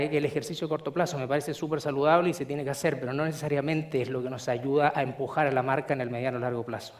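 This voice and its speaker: A middle-aged man from Chile with a Chilean accent, giving a measured, explanatory conference presentation with frequent filler sounds. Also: on the high side, darkish tone. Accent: Chilean Spanish. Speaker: conference speaker. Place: conference hall.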